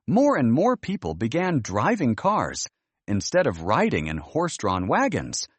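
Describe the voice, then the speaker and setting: A man reading a scripted children's story aloud as an animated, expressive narrator. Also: fairly high pitch, breathy edge, no room sound.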